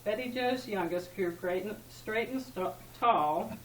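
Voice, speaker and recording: A woman's voice: medium pitch, measured pace. Woman reading verse in an echoey room. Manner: informal amateur reading with stumbles. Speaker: family member reading a tribute poem. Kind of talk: reading a rhyming poem aloud at a party. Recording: echoey room, distant mic, background noise.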